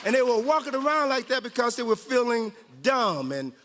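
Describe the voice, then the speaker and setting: Animated male storyteller in a reverberant hall. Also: very high-pitched, fairly quick, a faint crowd. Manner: playful, dramatic, with joking asides. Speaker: guest storyteller, author and historian. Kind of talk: animated read-aloud storytelling to live group. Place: large hall at a training event.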